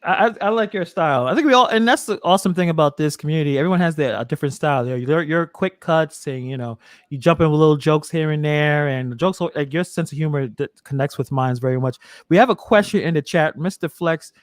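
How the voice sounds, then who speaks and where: A man's voice: on the high side, quick pace, no echo, clean studio sound. A man hosting a casual livestream interview, chatty and reading viewer messages aloud. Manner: chatty, laughs, reads chat aloud. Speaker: host of a livestream.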